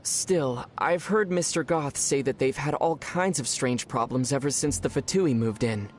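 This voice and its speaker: A man's voice: medium pitch, a little slow, slight background noise, close to an everyday voice. A man voicing a young, curious, mild traveler from another world in a video game, musing and understated, with music behind. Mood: musing, conspiratorial. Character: young male otherworldly traveler, curious, mild, dryly puzzled. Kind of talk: video game character dialogue.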